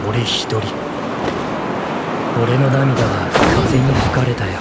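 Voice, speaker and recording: A man's voice: mid-range voice, slow, lightly acted. A man playing a boastful, self-important veteran adventurer in an anime game, telling his legend dramatically. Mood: sorrowful. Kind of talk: Japanese anime game voice acting. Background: game music.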